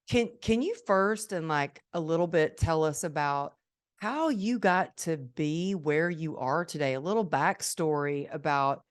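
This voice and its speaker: A woman hosting a podcast, full of gushing praise for her guest and leaning on fillers as she frames her questions. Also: low voice. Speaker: podcast host. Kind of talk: interview questions.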